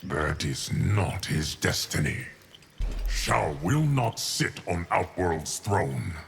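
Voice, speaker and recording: An actor playing an ageless, solemn guardian of time in a video game, speaking in a deep, gravelly, measured and formal voice. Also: male voice, very animated, a little hiss or hum, exaggerated voice. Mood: grave, calm. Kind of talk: video game character dialogue.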